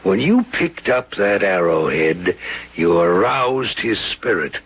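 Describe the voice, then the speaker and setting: Husky, grave ghost-story sage. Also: male voice, low-pitched, big pitch swings, takes it slowly, exaggerated voice. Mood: solemn, portentous. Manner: solemn, portentous. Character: grave man solemnly explaining a ghostly curse. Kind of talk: old radio drama acting. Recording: dull old radio recording.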